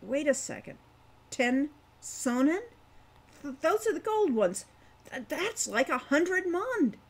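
A woman's voice: high voice, very animated, slow, voice pushed up, full character voice. A woman voicing an earnest, polite young man who wants to be a hero, in a storybook reading. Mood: surprised. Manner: earnest, questioning.

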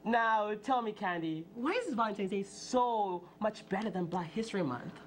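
A young woman playing a deadpan spoof news anchor. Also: mid-range voice, moderate pace, a little hiss or hum, close to an everyday voice. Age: young adult. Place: student TV studio set.

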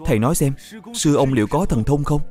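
Guy doing questioning junior monk in a buddhist cartoon, regular male pitch, music behind. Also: fast talker, darkish tone, close to an everyday voice. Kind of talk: animated Buddhist drama dub.

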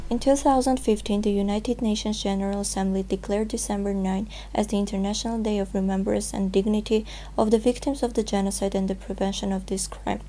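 A woman's voice: medium pitch, fairly even intonation, brisk, dark tone, soft background music. A young woman reading scripted news in a formal manner as a presenter. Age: young adult.

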